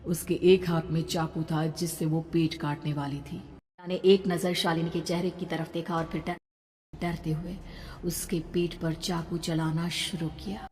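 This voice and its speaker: A woman's voice: on the low side, little pitch movement, moderate pace, a little mellow. A woman narrating a scripted horror story in a dramatic storytelling style. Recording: long reverb, background noise.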